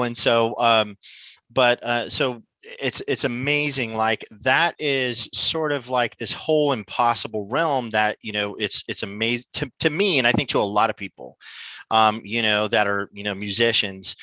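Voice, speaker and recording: A man's voice: mid-range voice, bright, forceful tone, no room sound, poor audio. A man hosting a podcast, reading an upbeat intro and then chatting effusively with his guest, full of "you know"s. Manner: enthusiastic, effusive, rambling with many "you know"s. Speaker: podcast host. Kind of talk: scripted intro, then casual interview chat.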